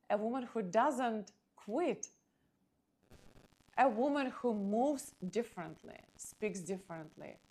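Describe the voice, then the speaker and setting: A woman's voice: medium pitch, darkish tone, slightly rough. Ukrainian-accented woman giving a motivational talk. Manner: emphatic short phrases with dramatic pauses. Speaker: podcast host and motivational speaker. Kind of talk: motivational monologue.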